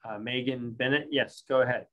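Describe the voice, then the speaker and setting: A man's voice: medium pitch, fairly even intonation, normal speed, fairly bright tone. A man chairing a council meeting over a video call, calling speakers in a procedural way and stumbling over names. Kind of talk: chairing public comment.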